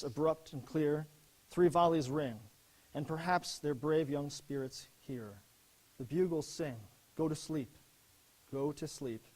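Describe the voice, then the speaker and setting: A man playing an earnest First World War army officer, giving a solemn, measured dramatic reading of letters and verse. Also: a little high, darkish tone, poor audio.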